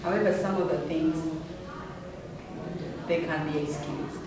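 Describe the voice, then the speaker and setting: Earnest woman speaker, emphatic storytelling, heard from far in a booming hall. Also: fairly even intonation, unhurried, over a noisy crowd. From Uganda. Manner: earnest, emphatic, rising passionately on key words. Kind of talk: personal story speech.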